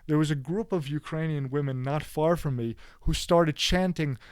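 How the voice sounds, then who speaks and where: A man's voice: a little high, moderate pace, darkish tone, smooth, no echo, studio quality. A man giving opinionated solo video commentary, speaking bluntly and emphatically with frequent asides and restarts. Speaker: political commentator.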